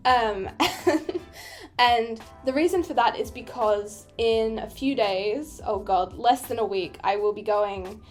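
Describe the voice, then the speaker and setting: A young woman with an Australian accent and a fairly high voice talks loosely and warmly about her work, poking fun at herself and breaking into little laughs. Soft music sits faintly underneath her narration. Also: takes it slowly. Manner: chatty and self-deprecating, laughing often between thoughts. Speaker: digital artist. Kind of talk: casual voice-over commentary over a speedpaint. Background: faint background music.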